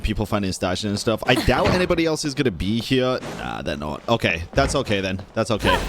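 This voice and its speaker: A man's voice: medium pitch, noisy background. A man casually reacting to a game on stream, joking, chatty and profane.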